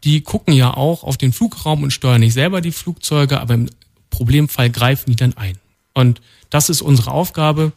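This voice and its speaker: Male guest from North Rhine-Westphalia, calm, matter-of-fact, mid-pitched. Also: normal speed, gravelly. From North Rhine-Westphalia, Germany. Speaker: studio guest, department head. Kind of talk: radio interview answers. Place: radio studio.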